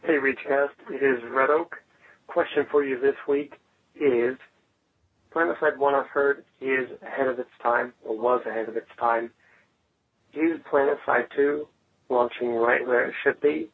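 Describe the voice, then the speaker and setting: Husky man on a tinny phone line. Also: medium pitch, steady tone, a little slow. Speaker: caller leaving a question. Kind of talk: prepared question to a podcast.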